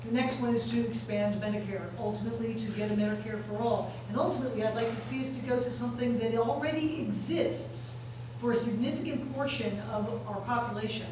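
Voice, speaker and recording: A woman candidate giving a measured, plain-spoken speech at a public forum, repeating phrases for emphasis. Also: on the high side, fairly even intonation. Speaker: political candidate. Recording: echoey hall, distant mic, dull sound, background noise.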